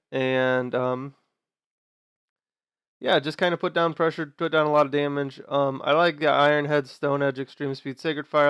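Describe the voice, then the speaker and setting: A young man hosting a gaming video, talking casually through his team choices while sick, sounding nasal and quieter than usual. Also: fairly high pitch, clean tone, dry recording, clean studio sound. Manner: chatty, explains team choices with fillers and restarts. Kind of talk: casual gameplay commentary.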